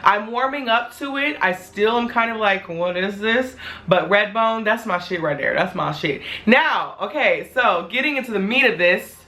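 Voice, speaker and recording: A woman in her twenties talking casually to her viewers in a vlog, her voice a little hoarse as it returns, chatty and candid. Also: medium pitch, animated, normal speed, sharp tone. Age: 20s. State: hoarse, voice not fully back. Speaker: vlogger.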